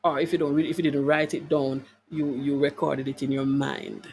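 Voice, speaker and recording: A woman's voice: very deep voice, expressive, brisk, gravelly, darkish tone, slight background noise. Emphatic woman hosting a wellness talk. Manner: emphatic, repeats phrases for stress, preacher-like; conversational, addresses listeners with rhetorical questions. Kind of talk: live talk to online viewers, reading out comments.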